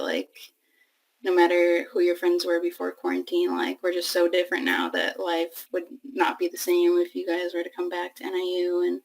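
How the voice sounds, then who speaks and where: A woman's voice: fairly low pitch, gravelly, poor audio. A woman of twenty, a student, answering interview questions hesitantly with many fillers.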